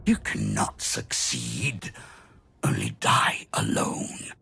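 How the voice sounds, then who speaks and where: An actor playing an arrogant, coldly amused immortal dark-side villain in a video game, speaking with theatrical, drawn-out condescension and a gravelly, husky voice. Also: male voice, very deep voice, wildly swooping pitch, full character voice. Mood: menacing scorn. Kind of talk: dramatic video game acting.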